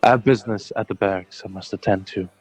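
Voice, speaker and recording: Guy doing stiff dry army officer, lowish voice, radio play, music under. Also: fairly even intonation, fast talker, close to an everyday voice. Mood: dry, curt. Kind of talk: radio drama acting.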